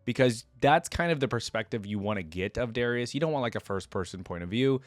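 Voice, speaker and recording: A man's voice: mid-range voice, expressive, brisk, no echo. A man giving casual, informal commentary as a reaction video host, chatting like a gamer and reading bits aloud. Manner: conversational, informal gamer speech.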